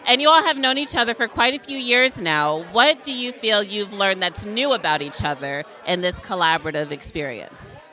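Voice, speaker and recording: A woman's voice: medium pitch, lively intonation, normal speed, hardly any pauses, sharp tone, rough recording. A woman hosting an interview in an encouraging, affirming way. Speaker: interviewer, host. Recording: light crowd chatter behind the voice.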